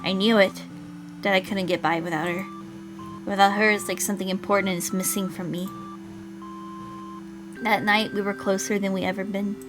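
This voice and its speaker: A woman's voice: medium pitch, steady tone, moderate pace, long pauses, over music, natural voice, rough recording. A woman reading as a brooding, dependent young man narrating a visual novel, introspective and earnest.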